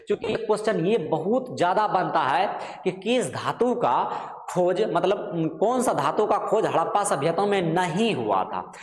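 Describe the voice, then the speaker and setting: A man with a Bihari accent teaching an exam-prep lesson to students, repeating himself and often adding check phrases. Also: a little high. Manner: repetitive, with frequent check phrases. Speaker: history teacher. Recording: echoey hall-like reverb.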